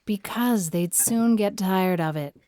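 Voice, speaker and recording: A woman's voice: mid-range voice, slow pace, warm tone, no echo, close to an everyday voice. An actress playing a stern, practical older country woman in an audiobook drama, speaking in a severe, clipped way. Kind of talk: scripted audiobook drama acting.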